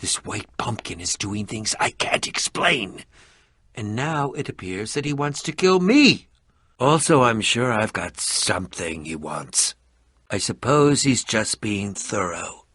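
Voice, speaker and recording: A man's voice: fairly low pitch, sing-song, a little slow, a bit bright, breathy edge, dry recording, studio quality, exaggerated voice. A man voicing a pompous, irritable, middle-aged eccentric adventurer and potion maker in a video game, with a dramatic, sardonic, fussy delivery. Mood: wary, uneasy. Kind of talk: animated video game voice acting.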